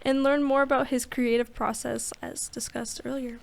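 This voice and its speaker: This is a woman co-hosting a campus radio interview. Her voice sits fairly high with a noticeable vocal fry. She keeps the guest talking with short, encouraging murmurs. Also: little pitch movement, normal speed. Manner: encouraging, frequent backchannel "mm-hmm". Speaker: radio co-host.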